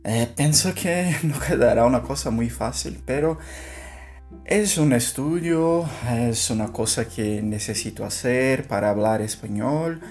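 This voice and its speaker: A Brazilian Portuguese speaker learning Spanish, talking in a halting way, searching for words and laughing softly. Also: medium pitch, faint music behind. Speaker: language learner. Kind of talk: casual spoken practice monologue.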